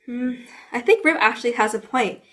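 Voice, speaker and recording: A woman's voice: on the high side, normal speed, fairly bright tone, lightly acted. A woman playing a clever, determined young problem-solver in an audio drama. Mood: thoughtful.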